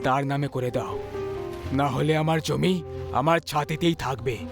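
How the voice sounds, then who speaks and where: A creaky, high-voiced old farmer back from the dead, defiant and out for revenge. He draws his menacing words out slowly in a horror tale, with music playing behind him. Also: fairly even intonation. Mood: calm, grave. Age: older. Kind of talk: dramatized horror story acting.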